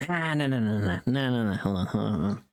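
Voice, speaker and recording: A woman's voice: rumbling low, expressive, quick pace, a little mellow. A woman livestreamer chatting casually while she works, muttering to herself and repeating phrases in a rambling way. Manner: swears freely. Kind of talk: casual chat while working on stream.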